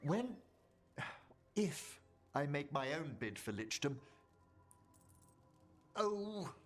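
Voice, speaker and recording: Husky, theatrical gentleman necromancer. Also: a little high, big pitch swings, very slow, faint music behind, exaggerated voice. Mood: anxious, wistful. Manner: formal, eloquent, dramatic. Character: theatrical, fretful gentleman necromancer afraid of death.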